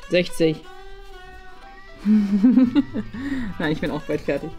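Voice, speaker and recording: A woman's voice: mid-range voice, lively intonation, very slow. A woman in her thirties chatting casually in a playful, teasing way. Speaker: participant in a painting challenge. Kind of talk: casual chat. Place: indoor children's room. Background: background music.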